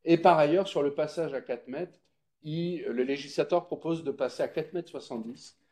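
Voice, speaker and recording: A man's voice: on the high side, moderate pace, mellow. A man explaining things at a public meeting in an informal, didactic way, checking that listeners follow. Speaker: presenter at a public consultation meeting. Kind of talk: explanatory public presentation with question-taking.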